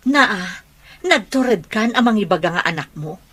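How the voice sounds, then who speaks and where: A woman's voice: mid-range voice, animated, a little slow, fairly bright tone, breathy edge. An actress playing a fierce, protective foster mother who scolds and confronts in a radio drama. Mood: furious. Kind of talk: Ilocano radio drama acting.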